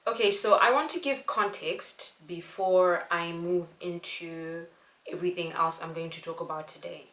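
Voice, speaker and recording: Young woman from zimbabwe, earnest vlog storytelling, lowish voice, kinda echoey room. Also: steady tone, normal speed, noisy background. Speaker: vlogger. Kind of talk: casual storytelling to camera.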